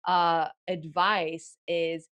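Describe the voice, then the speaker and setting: A woman whose first language is Farsi, a guest in an interview, explaining her method in lively bursts and acting out inner thoughts. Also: on the low side, extremely slow, clean tone, lo-fi sound. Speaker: guest author and ghostwriting coach. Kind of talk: casual interview talk.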